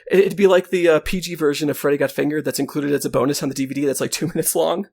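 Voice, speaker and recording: A man's voice: a little high, fairly even intonation, quick pace, creaky, a little mellow. A man co-hosting a show, chatting casually and jokingly about films. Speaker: podcast co-host. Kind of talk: casual chat, joking film commentary.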